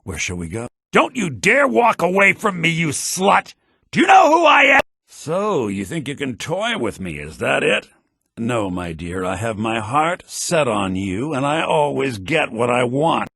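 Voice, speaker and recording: An actor playing a pompous, lecherous and scheming wealthy merchant in a fantasy video game, with a raspy voice. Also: male voice, medium pitch, huge pitch swings, unhurried, fairly bright tone, no room sound, clean studio sound, exaggerated voice. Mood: lecherous, smug, then indignant, angry. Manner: pompous, smug, theatrical.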